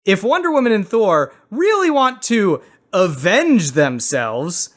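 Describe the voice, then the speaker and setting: A man with a high, very animated voice plays podcast moderator. He delivers scripted comic narration in a mock-grandiose, theatrical way, swinging widely in pitch. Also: takes it slowly.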